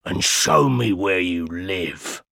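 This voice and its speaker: A man's voice: lively intonation, gravelly, a bit bright, no echo, clean studio sound, exaggerated voice. A man growling as a menacing escaped convict. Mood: gruff. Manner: slow, low threatening growl. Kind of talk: audiobook character voice.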